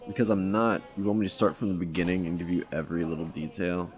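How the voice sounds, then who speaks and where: A man's voice: deep voice, fairly even intonation, quick pace, lightly acted. A man playing a cocky young detective. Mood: wisecracking. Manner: wisecracking, casual. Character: young male detective, cocky ex-undercover cop.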